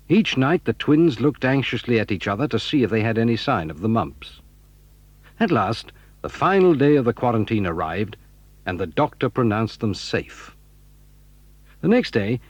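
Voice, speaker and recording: Husky male storybook narrator, roomy dull recording. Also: medium pitch. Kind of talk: scripted storybook narration for an audio drama. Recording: dull, roomy 1983 audio recording with music.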